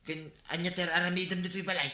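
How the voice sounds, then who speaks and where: A man's voice: sits high, little pitch movement, fast talker, pushed and bright, reverberant. A man with an Ilocano accent playing a lazy, grumbling idle village husband who whines and argues in a radio comedy drama.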